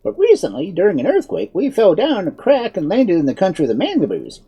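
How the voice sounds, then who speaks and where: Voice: medium pitch, huge pitch swings, fairly quick, dark tone, slightly rough, voice pushed up, exaggerated voice. A storybook narrator voicing a polite, cautious male wizard who explains things courteously.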